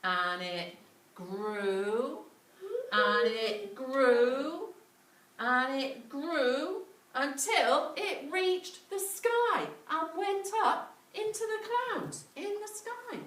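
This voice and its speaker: A woman's voice: sits high, very slow, fairly bright tone. A woman telling a children's story interactively and dramatically, recorded at a distance in a roomy space with faint noise. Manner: dramatic, with repetition and questions to listeners.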